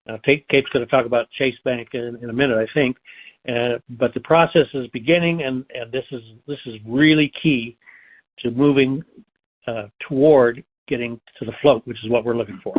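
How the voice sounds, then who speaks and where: A man co-hosting a conference call, explaining finance in a halting, emphatic way over a thin telephone line. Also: medium pitch, steady tone.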